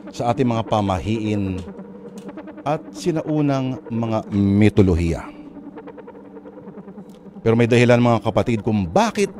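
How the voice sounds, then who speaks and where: A man's voice: mid-range voice, background noise. A man hosting and narrating a radio drama in a theatrical, suspenseful storytelling style. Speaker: host and narrator. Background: music.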